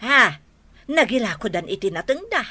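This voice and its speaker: A woman playing a nosy, sharp-tongued middle-aged village mother in a radio drama, prying and exclamatory. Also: a little high, very animated, moderate pace, husky, full character voice. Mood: nosy, surprised. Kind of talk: radio drama acting in rural family comedy.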